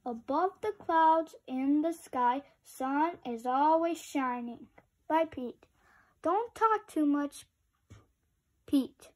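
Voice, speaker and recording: Female read-aloud host, high pitch, slow delivery, informal and childlike in commentary. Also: expressive, a little mellow, rough recording. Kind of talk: reading a picture book aloud with casual asides to children.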